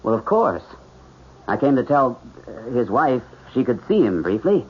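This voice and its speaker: An actor playing a principled, lovelorn surgeon, measured and formal, in 1970s radio drama style. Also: male voice, mid-range voice, big pitch swings, slightly rough. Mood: courteous, confident. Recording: dull, band-limited radio drama recording.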